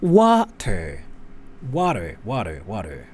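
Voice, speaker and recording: Man, livestream host, casual comment-reading chat, animated, defensive, very lively pitch. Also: slow. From Japan. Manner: animated and defensive, with many restarts.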